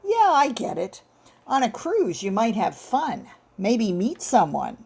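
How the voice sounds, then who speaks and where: A woman's voice: fairly high pitch, wildly swooping pitch, slow pace, darkish tone, slightly rough, voice pushed up, full character voice. A woman voicing a lanky, grumbling male police detective with a casual, blunt, slangy manner. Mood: casual, puzzled.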